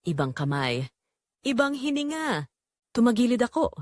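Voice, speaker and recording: A woman's voice: a little high, big pitch swings, moderate pace, no echo, studio quality. A woman with a Filipino accent reading a first-person scripted drama in a confessional, storytelling manner. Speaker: audio drama narrator.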